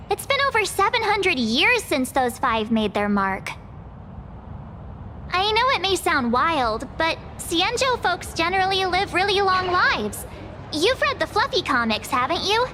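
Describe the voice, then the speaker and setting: A woman voicing a perky, chatty teenage girl adventurer in an anime-style video game, expressive, casual and teasing. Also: very high voice, measured pace, exaggerated voice. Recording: background music under the voice.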